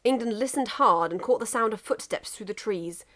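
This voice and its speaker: A woman reading a scripted story aloud as an expressive narrator. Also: a little high, moderate pace. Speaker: narrator, fan fiction reader.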